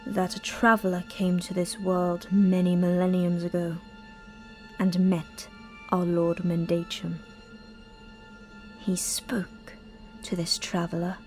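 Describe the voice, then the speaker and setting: A woman playing a cold, commanding Mother Superior of a death cult in an audio drama, solemn and often hushed. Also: fairly low pitch. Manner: solemn, imperious, breaking into hushed breathy phrases.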